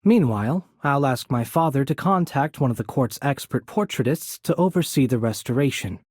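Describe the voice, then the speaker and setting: A man playing a courteous, composed young noble mage in a video game, soft-spoken and slightly breathy, with polite, measured, formal phrasing. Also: medium pitch, lively intonation, no echo, clean studio sound. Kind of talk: video game character voice acting.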